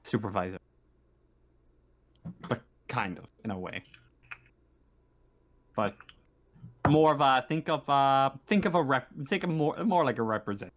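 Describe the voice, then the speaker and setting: A man's voice: medium pitch, animated, measured pace, pauses often. Man hesitantly running a tabletop game. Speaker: game master. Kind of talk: narrating a tabletop game, casual improvising. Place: online voice call.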